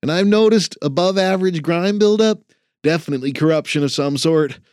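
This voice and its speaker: A man's voice: a little high, fairly quick, darkish tone, clean tone, no echo, studio quality, voice pushed up, natural voice. A man doing a nervous young student. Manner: hesitant, apologetic. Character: nervous young male work-study student, eager.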